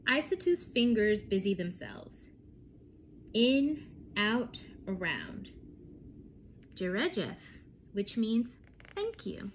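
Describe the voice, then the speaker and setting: A woman reading a picture book aloud to young children, telling it expressively with deliberate phrasing and dramatic pauses. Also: medium pitch, big pitch swings, slight background noise.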